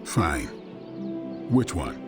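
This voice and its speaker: A gruff, stoic vampire hunter from a video game, with an extremely low voice that moves in wide swings. He is terse and deadpan, blunt but loyal. Clear music plays underneath. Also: drawn-out words, heavily acted. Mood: guarded, fond. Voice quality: very deep. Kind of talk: video game cutscene dialogue.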